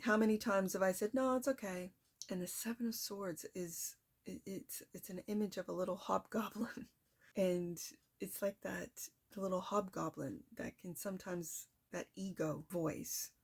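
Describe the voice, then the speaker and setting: Woman, tarot reader, soft voice, chatty and reflective, mid-range pitch. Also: steady tone, unhurried, creaky, breathy edge. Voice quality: soft-spoken. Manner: chatty, reflective, addresses the viewer directly. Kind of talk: casual tarot reading to viewers.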